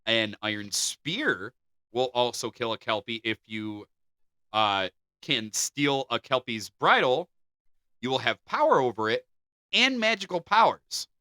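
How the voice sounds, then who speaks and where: A male podcast co-host reads out folklore in an animated, jokey way, his voice rising and falling widely. His tone is very bright and forceful, and the mic sound is dry and close. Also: medium pitch, a little slow, no room sound. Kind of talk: casual chat, reading folklore aloud.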